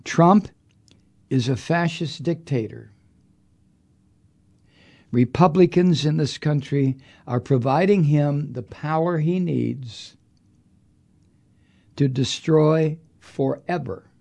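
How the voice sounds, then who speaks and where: A man's voice: a little high, takes it slowly, gravelly, long pauses, a little mellow. A man hosting a political podcast, delivering an angry, profane monologue with heavy emphasis. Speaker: podcast host.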